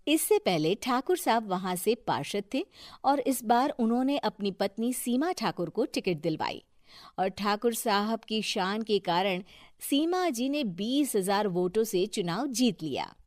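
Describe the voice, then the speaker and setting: A woman's voice: medium pitch, animated, measured pace, no room sound, clean studio sound. A woman narrating a scripted moral story for a cartoon video.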